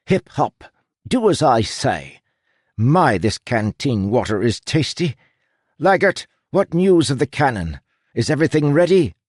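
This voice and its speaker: A man's voice: mid-range voice, big pitch swings, unhurried, darkish tone, no room sound, clean studio sound, voice pushed up. A man voicing a rat who plays an aristocratic pirate captain, with a posh accent and a pompous, declamatory manner. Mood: imperious.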